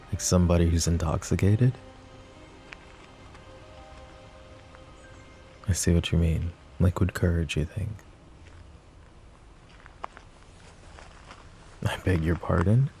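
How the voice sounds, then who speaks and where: A man playing a possessive, overprotective mafia boss in an intimate roleplay audio drama, with a low gravelly voice and a measured, commanding manner. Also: warm tone. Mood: dry, indignant. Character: possessive, overprotective male mafia boss, curt but caring. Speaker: voice actor.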